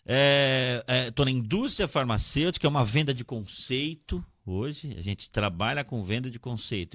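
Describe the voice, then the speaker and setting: Animated middle-aged Paulistano man, slightly gravelly. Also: mid-range voice, no echo. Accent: São Paulo Brazilian Portuguese. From São Paulo, Brazil. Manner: emphatic, repeats phrases, often checks understanding with tag questions. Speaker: podcast guest, pharmaceutical sales representative. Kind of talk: conversational personal storytelling in an interview.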